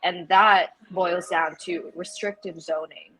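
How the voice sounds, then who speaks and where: A woman's voice: lowish voice, fairly even intonation, slow, bright, forceful tone, rough recording. A woman chatting casually as a podcast guest, informal and enthusiastic, peppering her sentences with "like". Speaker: podcast guest, political campaign volunteer leader. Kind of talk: casual chat.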